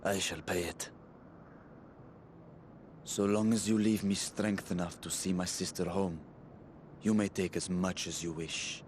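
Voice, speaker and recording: A man's voice: low-pitched, steady tone, measured pace, pauses often, a little hiss or hum. An actor playing a proud, grave male dragon in a fantasy video game, speaking solemnly and formally. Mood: solemn, resolute.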